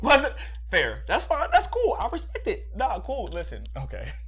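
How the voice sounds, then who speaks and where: A man with a loud voice co-hosting a casual group chat, joking and teasing the others and laughing often. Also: sits high, wildly swooping pitch, moderate pace, crowd noise. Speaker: podcast co-host.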